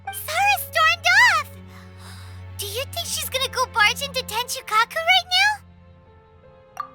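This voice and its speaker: A woman voicing a tiny, excitable, childlike companion in a video game, squeaky and exclamatory. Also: takes it slowly, faint music behind, full character voice. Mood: excited. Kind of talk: video game cutscene voice acting.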